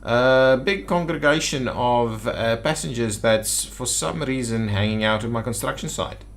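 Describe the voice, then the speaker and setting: A man's voice: medium pitch, measured pace, vocal fry. A man giving casual gameplay commentary as a video host, thinking aloud and muttering to himself. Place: indoors at a computer.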